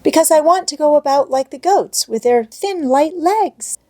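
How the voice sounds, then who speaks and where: An eager little girl from a storybook, acted out by a woman narrator. The voice lifts high and lilting, innocent and childlike, with cheerful, plain-spoken delight. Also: lively intonation, moderate pace, darkish tone. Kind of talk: storybook read-aloud character voice.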